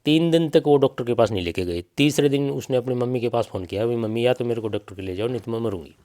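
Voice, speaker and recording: A man's voice: medium pitch, fast, warm tone. A middle-aged man with a Haryanvi accent gives spoken testimony in an interview, telling it insistently, with repetition and reported speech. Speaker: bereaved father giving an interview.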